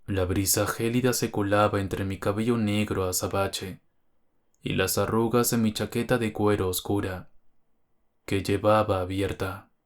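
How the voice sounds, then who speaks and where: A man's voice: on the low side, fairly even intonation, unhurried. A man reading a scripted first-person horror story as its narrator.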